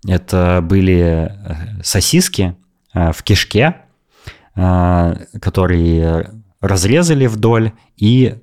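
Very low-voiced man telling a story. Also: slow pace. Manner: lively, opinionated storytelling. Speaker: podcast host. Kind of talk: casual podcast chat, travel story.

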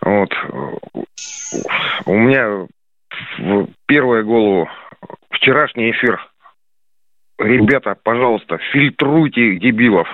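A man with a cold calling in to a radio show, hoarse and halting, with many fillers. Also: fairly low pitch, no echo. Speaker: caller, border guard. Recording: tinny phone line.

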